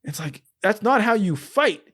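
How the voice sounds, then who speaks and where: A man with a slightly high voice chats casually as a film podcast co-host. He explains things at length in a comedic way, repeating himself and swearing now and then. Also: sing-song, moderate pace, a bit bright.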